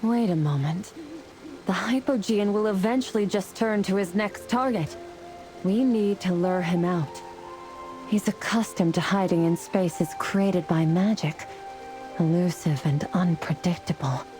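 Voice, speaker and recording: A woman's voice: on the low side, measured pace, a little mellow, close to an everyday voice. A woman playing a resolute celestial fire warrior in a fantasy game, speaking in a grave, formal, declamatory way. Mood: confident. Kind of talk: scripted fantasy game voice acting. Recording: music and rain behind the voice. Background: music, rain.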